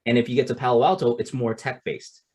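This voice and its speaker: This is a middle-aged man teaching a seminar, with a somewhat husky, breathy voice at a mid pitch. He talks in a loose, conversational way, restarting phrases and checking in with "right?" as he goes. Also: level tone, quick pace, darkish tone. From Canada. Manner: conversational, repeats words and restarts, tags "right?". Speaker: investment coach. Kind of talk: seminar talk.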